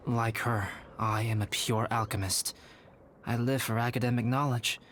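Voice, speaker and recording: A man voicing a calm, reserved young alchemist in a video game, speaking in a measured, formal, contemplative way. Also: on the low side, lightly acted. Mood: reflective. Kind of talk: scripted video game character acting. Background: music.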